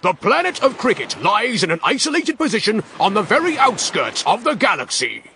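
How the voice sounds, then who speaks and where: A man doing a grand, bright announcer voice. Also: high voice, wildly swooping pitch, normal speed, gravelly, slight background noise, voice pushed up, heavily acted. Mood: declaiming. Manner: grand, declamatory. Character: grand booming narrating sky text. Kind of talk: audiobook reading.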